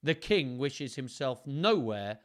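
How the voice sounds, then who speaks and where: A man voicing a calm, firm young English king. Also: mid-range voice, slow. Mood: resolute.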